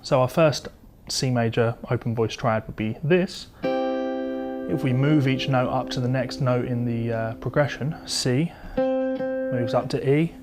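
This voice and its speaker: A man's voice: mid-range voice, slow pace. A man giving an informal guitar lesson, explaining step by step as he demonstrates. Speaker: guitar teacher. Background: electric or acoustic guitar being played.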